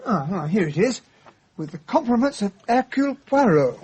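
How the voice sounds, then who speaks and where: An actor playing an earnest, loyal English gentleman who is a detective's companion and narrates a radio drama politely. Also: high-pitched, big pitch swings, moderate pace, slight background noise. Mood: anxious.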